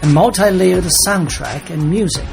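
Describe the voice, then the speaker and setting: A man reading a scripted trailer narration in a dramatic, deliberate storytelling manner. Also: high voice, gravelly. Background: music.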